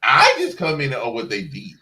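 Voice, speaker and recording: A man chats casually as co-host of a review stream. His voice sits at an average pitch but swings extremely widely, and his talk is colloquial and playfully defensive. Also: wildly swooping pitch, moderate pace, bright, forceful tone. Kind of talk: casual chat.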